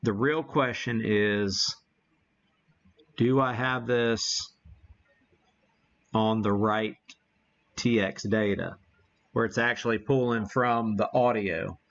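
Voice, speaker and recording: An American man hosting a casual live demo, thinking aloud in a folksy way, on muffled low-fidelity stream audio. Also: steady tone, takes it slowly, pauses often. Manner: folksy, mild homespun exclamations. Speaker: livestream host demonstrating ham radio gear.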